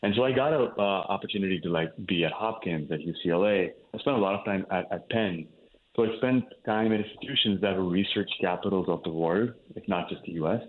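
A man's voice: low voice, a little fast, narrow, tinny audio, slight background noise. A man from India, a cardiologist, explaining his work in an interview, with many fillers and restarts. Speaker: cardiologist guest. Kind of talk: interview answers.

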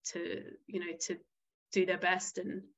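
A woman's voice: fairly low pitch, monotone, a little slow. A woman from the Isle of Wight, a football club chief executive speaking frankly as an interview guest and often restarting her sentences. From Isle of Wight, England.

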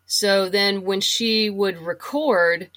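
A woman's voice: mid-range voice, slow pace, fairly bright tone. A woman talking casually and enthusiastically as a guest in an interview, in a chatty way. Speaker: guest, writer and audio drama producer.

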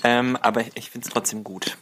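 A man's voice: mid-range voice, steady tone, moderate pace, a bit bright. A man with a German accent, chatting casually as a guest on a podcast.

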